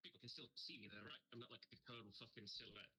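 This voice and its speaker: A young man from Wales chats on a livestream in a relaxed, easygoing way. His voice is very bright and forward. He swears offhandedly. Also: mid-range voice, fast, breathy edge. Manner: laid-back, swears casually. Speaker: panel guest. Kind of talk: casual chat on a livestream. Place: home room at night.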